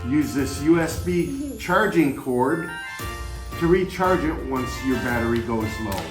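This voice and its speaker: A man's voice: medium pitch, lively intonation, unhurried. A man giving a casual product demonstration for a child, explaining things simply, step by step. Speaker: parent demonstrating a toy for a family channel. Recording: echoey room with loud music bed. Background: music.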